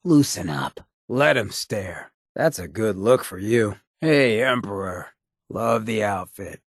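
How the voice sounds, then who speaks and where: An actor voicing a cocky, roguish, streetwise ghoul companion in a video game, with a very raspy, gravelly voice and a sly, laid-back swagger. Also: male voice, fairly low pitch, animated, slow, dry recording, clean studio sound, exaggerated voice. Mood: cocky, coaxing.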